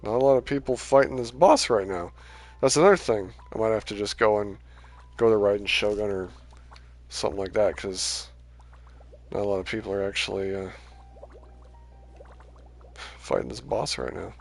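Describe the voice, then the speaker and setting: A man with a fairly low voice commentates casually on his game as he streams. He rambles along conversationally, and his voice is starting to tire. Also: moderate pace, creaky, long pauses. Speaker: game livestreamer.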